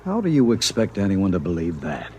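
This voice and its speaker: An actor playing a skeptical, gruff but indulgent boss in a 1950s radio drama. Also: male voice, deep voice, wildly swooping pitch, brisk, mellow, a little hiss or hum. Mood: skeptical, exasperated. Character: skeptical, gruff, grudgingly indulgent male chief. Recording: vintage radio broadcast recording.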